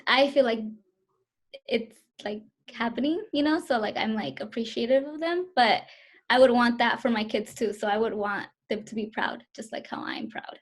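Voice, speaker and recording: A woman's voice: a little high, normal speed. A woman in her twenties answering interview questions over a video call, hesitant and full of fillers. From Southern California, US. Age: 20s.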